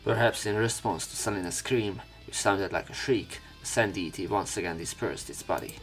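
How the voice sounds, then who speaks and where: A man narrating a visual novel aloud as a let's play, reading haltingly and slipping into casual commentary. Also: deep voice, fairly bright tone. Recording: game music behind.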